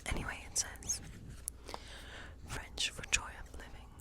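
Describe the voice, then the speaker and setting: A woman performing a whispered ASMR role play as a whimsical witch reading tarot, musing softly in a breathy, unhurried whisper.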